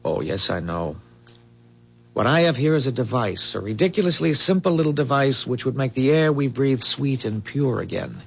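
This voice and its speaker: An actor playing an earnest, idealistic inventor in a 1970s radio drama, explaining things in a precise, formal way with some hesitant stammers. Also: male voice, mid-range voice, creaky, a bit bright, husky, heavily acted. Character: earnest, idealistic male inventor, proud of his revolutionary engine. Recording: dull, muffled 1970s radio broadcast recording.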